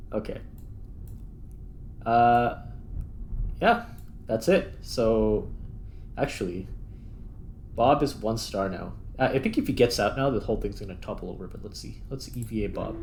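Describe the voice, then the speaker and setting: A man's voice: fairly low pitch, unhurried, pauses often, darkish tone. A man hosting a gaming channel, giving casual gameplay commentary and thinking aloud as he plays. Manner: thinks aloud while playing. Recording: clear background noise.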